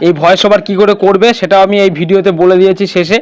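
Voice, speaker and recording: Fast high-voiced man teaching step by step. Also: little pitch movement. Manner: instructional, repeatedly checking understanding. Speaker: tutorial host. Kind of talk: informal step-by-step screen tutorial narration.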